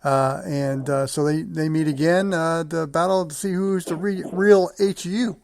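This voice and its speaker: A man's voice: a little high, fairly even intonation, a little slow, vocal fry, a little mellow, a little hiss or hum. A man hosting a sports show, running through matchups and results in conversation with a guest.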